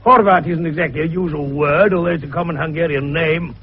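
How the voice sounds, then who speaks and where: A man's voice: a little high, steady tone, fairly quick, husky. A man playing a jovial, shrewd older British doctor turned detective in 1950s radio drama, avuncular, folksy and teasing. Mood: explaining triumphantly. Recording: dull vintage radio recording.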